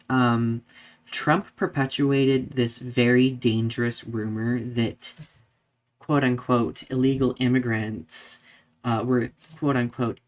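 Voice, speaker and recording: Podcast co-host with a mid-pitched voice. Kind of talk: live podcast discussion of current events.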